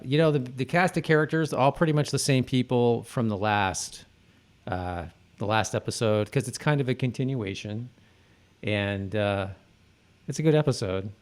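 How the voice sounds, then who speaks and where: Guy with kinda low voice cohosting a podcast, rambles and corrects himself, casual. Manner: rambling, halting, self-correcting. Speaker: podcast co-host. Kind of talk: casual chat about a TV episode.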